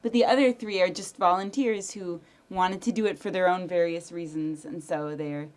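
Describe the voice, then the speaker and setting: A woman's voice: on the low side, steady tone, a little mellow. A woman guest in casual chat, laughing often and explaining her story. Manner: laughs often, quick affirmations. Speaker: guest, audio drama creator.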